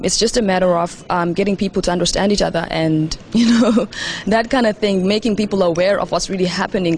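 A woman's voice: on the low side, darkish tone, a little hiss or hum. A young woman, speaking in an interview as a youth program founder, with frequent ums and restarts. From South Africa. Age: young adult. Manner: frequent ums and restarts, laughs between thoughts. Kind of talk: interview remarks at a youth forum.

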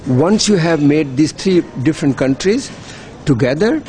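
A man's voice: fairly high pitch, expressive, moderate pace, dark tone, a little hiss or hum. A middle-aged man, giving earnest, persuasive interview answers as an NGO worker, often repeating words as he restarts. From Pakistan. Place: hotel.

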